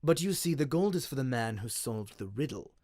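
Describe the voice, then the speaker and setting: A man voicing a stern, cruel aging king who speaks in a measured, menacing way. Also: medium pitch, animated, a little mellow, dry recording, clean studio sound, natural voice.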